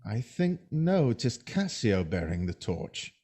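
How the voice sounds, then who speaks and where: A man's voice: mid-range voice, sing-song, slow, mellow. A man playing a resentful, scheming soldier with theatrical delivery in a Shakespearean audio drama. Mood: urgent warning. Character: bitter, scheming male soldier plotting against his master.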